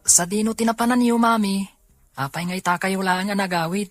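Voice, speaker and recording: A woman's voice: medium pitch, a little fast, soft background music, natural voice. A woman with an Ilocano accent acting a curious youngster who questions mother, in a radio drama. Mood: curious, wistful.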